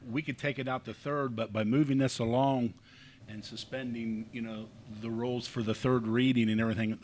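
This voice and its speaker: A man's voice: medium pitch, slight background noise. A man council member explaining procedure in a plain way in a council chamber. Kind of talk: speaking at a council meeting.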